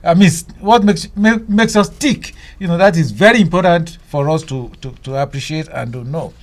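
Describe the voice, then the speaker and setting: A man presents a live radio discussion show. His voice sits a bit high for a man, and he feels his way through sentences, often doubling back on his phrases. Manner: halting, repeats phrases as he goes. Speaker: radio talk show host.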